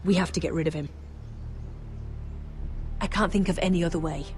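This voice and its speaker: A woman's voice: on the low side, steady tone, normal speed, a little mellow, faint music behind, lightly acted. A young woman acting as a determined, anguished noblewoman in a dramatic fantasy video game, with earnest intensity. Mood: indignant, resolute.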